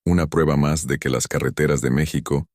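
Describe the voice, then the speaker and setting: A man reads spooky first-person tales in an extremely deep voice. He keeps a steady, measured pace throughout. The sound is dry and close, with no room around it. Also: no echo. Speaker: narrator. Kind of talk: scripted first-person horror narration.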